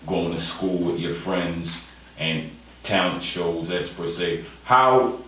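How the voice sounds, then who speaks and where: Deep-voiced male radio host, echoey. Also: a bit bright. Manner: halting, repeats his words. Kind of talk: interviewing a guest. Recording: echoey, distant, faint noise.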